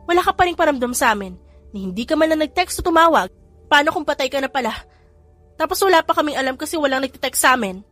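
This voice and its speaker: A woman doing a shrill scolding young mother. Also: lively intonation, quick pace, fairly bright tone, soft background music. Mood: worried pleading. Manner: scolding, high and emphatic. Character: exasperated loving young mother, sharp-tongued.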